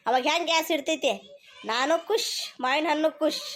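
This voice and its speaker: Woman playing a high-pitched bossy cartoon mother-in-law, North Karnataka accent. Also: brisk, a bit bright. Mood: bossy, insistent. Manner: scolding, insistent. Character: bossy, stubborn, mango-greedy mother-in-law. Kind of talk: cartoon comedy acting.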